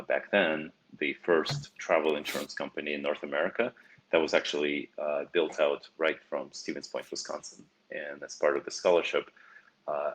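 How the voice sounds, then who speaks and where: A middle-aged man from Russia, a company CEO answering interview questions in a measured way with small restarts. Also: extremely low pitch, fairly bright tone, husky. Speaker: CEO guest.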